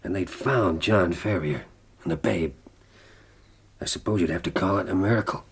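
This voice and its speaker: Deep-voiced, dying older prisoner. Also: male voice, husky, full character voice. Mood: reflective. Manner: grave, deliberate, dramatic. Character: gravely ill, defiant American prisoner telling his life story. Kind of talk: radio drama storytelling.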